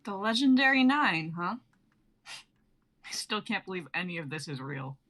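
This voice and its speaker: A woman's voice: fairly low pitch, sing-song, measured pace, a bit bright. A young woman playing a tough, guarded but candid adventurer in a dramatic reading. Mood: uneasy, relieved. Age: young adult. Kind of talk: online dramatic script reading.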